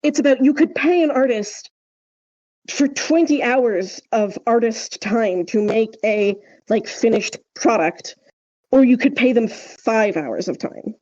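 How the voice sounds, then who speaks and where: Female podcast co-host, high and soft-toned, emphatic explainer in casual discussion, slightly dull recording. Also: slow pace. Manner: emphatic, explanatory, often checks with "right?".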